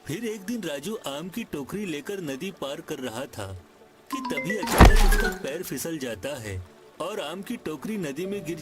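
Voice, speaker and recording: Male story narrator over clear music. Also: a little high. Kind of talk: scripted children's story narration.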